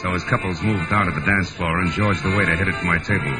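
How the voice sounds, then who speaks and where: An actor playing a wry, cynical, tough private detective in old-time noir radio drama, with a clipped, world-weary delivery. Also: male voice, sits low, fairly even intonation, fast talker, natural voice. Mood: tense, wry. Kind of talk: 1940s radio acting, noir first-person narration. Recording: vintage radio drama with loud music behind.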